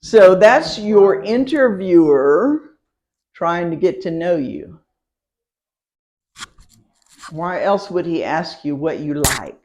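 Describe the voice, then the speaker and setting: A woman's voice: lowish voice, lively intonation, takes it slowly, darkish tone. A woman teaching a citizenship class, patiently repeating words for her learners and laughing lightly between points. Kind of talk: classroom pronunciation lesson.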